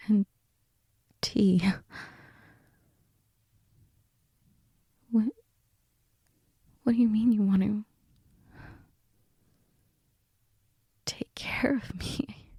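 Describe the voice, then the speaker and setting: Hushed, husky, hesitant mom played by a woman. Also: medium pitch, fairly even intonation, no room sound. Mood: reluctant, touched. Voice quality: husky, breathy, often whispery. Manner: hesitant, halting, soft-spoken. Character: sick, flustered, reluctant middle-aged mother at home. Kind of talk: intimate girlfriend audio roleplay.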